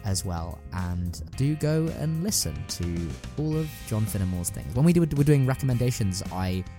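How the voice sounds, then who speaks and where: A man co-hosting a podcast in a casual, keen, slightly halting way. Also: lowish voice, animated, mellow, soft background music. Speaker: podcast co-host. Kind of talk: casual chat.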